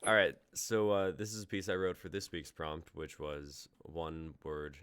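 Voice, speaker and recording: A man's voice: low-pitched, level tone, unhurried, a bit bright, clear, resonant tone, no room sound. A man reading out the stage directions of a script he wrote.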